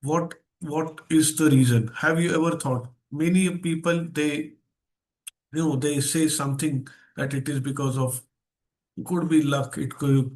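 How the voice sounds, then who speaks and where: A man with an Indian accent leads an online mindset seminar as guest speaker. His voice sits at a medium pitch, and he stresses key phrases. He often puts rhetorical questions to his listeners. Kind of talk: interactive online seminar talk. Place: online video session.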